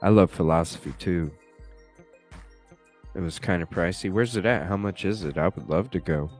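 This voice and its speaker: A man with a stuffed-up nose chats casually to his live audience in a very low voice, musing aloud and tossing in the odd curse word. Faint music plays quietly behind him. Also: normal speed. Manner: chatty, thinks aloud, swears casually. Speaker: live streamer. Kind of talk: casual chat reacting to a video. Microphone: desk microphone with webcam.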